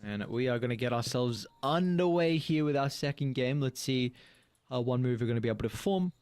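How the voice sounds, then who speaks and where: A man's voice: medium pitch, animated, brisk, darkish tone, clean tone, no room sound. A man in his mid-twenties casting an esports match, playful and slangy, laughing easily as he talks. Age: 20s. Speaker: esports caster. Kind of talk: casual esports commentary.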